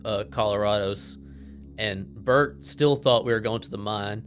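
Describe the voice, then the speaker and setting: Male gamer, lowish pitch, informal table talk, light music underneath. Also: unhurried. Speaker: player in a tabletop role-playing game. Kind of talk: casual table chat, describing his character.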